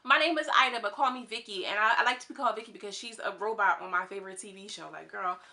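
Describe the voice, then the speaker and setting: Fast-talking woman with a Houston twang. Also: bright, forceful tone. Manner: fast-talking, chatty, acts out quotes in a higher voice. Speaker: YouTube reality TV commentator. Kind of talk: casual commentary monologue.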